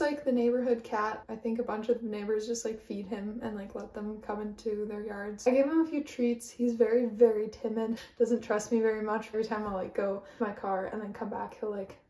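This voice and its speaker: Higher-voiced young woman chatting casually. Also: mellow, breathy edge, no echo. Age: 20s. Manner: informal, chatty, frequent "like". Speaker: student vlogger. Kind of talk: casual chat to camera, daily vlog. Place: parked car and home kitchen. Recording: background noise in car and kitchen.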